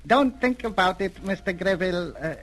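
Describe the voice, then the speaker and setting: An actress playing a mysterious Eurasian woman soothing a patient in an old radio drama, with deliberate, coaxing speech. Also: fairly low pitch, expressive. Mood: soothing, guarded. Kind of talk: 1930s-40s radio drama acting. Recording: noisy vintage radio recording.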